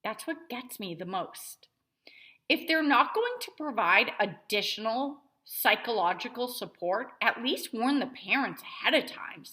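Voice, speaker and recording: A woman reader plays a self-righteous mother holding forth to other parents in a children's story. Her voice is bright and forceful, swinging widely in pitch as she complains with heavy emphasis. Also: lively intonation, a little slow. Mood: indignant. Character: indignant self-righteous mother rallying other school moms. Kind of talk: children's novel read-aloud character voice.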